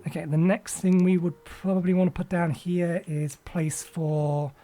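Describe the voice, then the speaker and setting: Chatty man, slightly raspy from a cold. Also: fairly high pitch, little pitch movement, a little slow, warm tone. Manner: rambling, self-correcting with frequent ums. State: recovering from a cold, a little raspy. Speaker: gaming channel host. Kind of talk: casual let's-play commentary.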